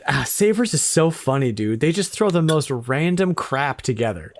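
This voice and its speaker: A man co-hosting a retro gaming podcast in casual chat, enthusiastic and quick to laugh, often repeating words. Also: on the high side, animated, dry recording. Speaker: podcast co-host.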